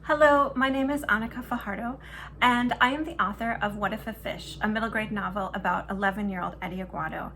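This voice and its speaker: A woman author from Minnesota talks in an earnest, heartfelt way about her novel. Her voice is bright and clear, with faint ambient noise behind it. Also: mid-range voice, a little fast. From Minnesota, USA. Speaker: children's book author. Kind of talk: personal talk about her book.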